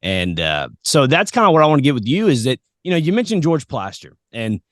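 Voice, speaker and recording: A man's voice: medium pitch, big pitch swings, fairly quick, no room sound, clean studio sound. An American man hosting a podcast, chatting in a casual, rambling, informal way as he interviews. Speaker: podcast host. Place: podcast set.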